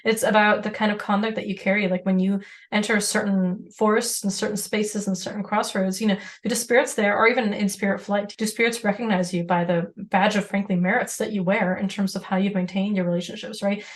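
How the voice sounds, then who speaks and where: Fast-talking woman. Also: mid-range voice, steady tone. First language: Serbian. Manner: rapid, talkative, laughs often. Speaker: podcast co-host. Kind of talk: casual discussion.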